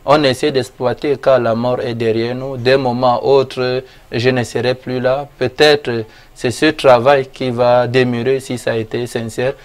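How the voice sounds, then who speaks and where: Man, native Arabic speaker, from Cameroon, flat even delivery, measured devout answers, Arabic phrases woven in. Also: medium pitch, clear, resonant tone. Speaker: imam and author, interview guest. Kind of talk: formal interview answers.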